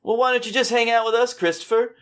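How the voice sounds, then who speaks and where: A man's voice: very high voice, steady tone, quick pace, a bit bright, smooth, pitched above the performer's own voice. Laid-back young male musician played by a male storyteller, slangy, casual story dialogue. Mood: inviting.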